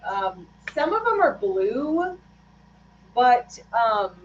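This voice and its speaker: A woman chatting casually on a live stream as she paints fishing lures, rambling and hesitant with lots of fillers, her voice a bit hoarse. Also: fairly high pitch, big pitch swings, drawn-out words, highs cut off, a little hiss or hum. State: slightly hoarse after losing her voice. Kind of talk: casual live-stream chat while working.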